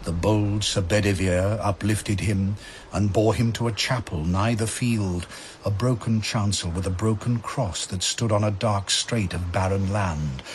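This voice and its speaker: A man with a fairly low voice narrates epic verse. His delivery is very slow, grave and theatrical, declaimed as drama. A noisy ambience lies behind him.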